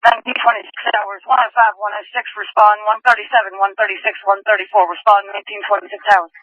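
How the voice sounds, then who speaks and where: A woman's voice: medium pitch, level tone, fast, no echo. A woman working as a police dispatcher, speaking in clipped, procedural codes and times over a police radio. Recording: dull lo-fi police scanner radio audio.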